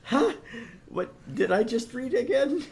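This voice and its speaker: A man's voice: very high voice, sing-song, a little slow, creaky, a little mellow. A man gaming streamer chatting casually during a playthrough.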